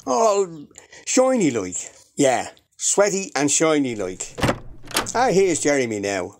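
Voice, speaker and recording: A woman playing a tactless, excitable Irish family member in a comedy radio drama, bluntly spoken. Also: low voice, slow, noisy background, heavily acted.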